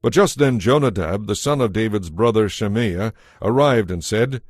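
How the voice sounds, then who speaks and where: Low-voiced solemn male narrator. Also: lively intonation, normal speed, no echo, studio quality. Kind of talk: scripted dramatic scripture narration.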